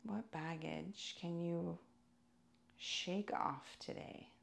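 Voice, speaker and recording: Slow, creaky-voiced woman guiding meditation. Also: on the low side. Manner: calm, soothing, deliberate phrasing with pauses. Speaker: yoga and meditation teacher. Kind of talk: guided meditation instruction.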